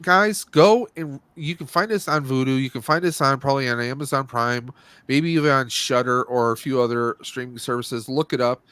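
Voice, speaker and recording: A man's voice: mid-range voice, normal speed, a bit bright, smooth, no room sound. Blunt man chatting on a movie podcast, dry sound. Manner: opinionated, blunt, rambling. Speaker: podcast host. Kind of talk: casual movie review chat.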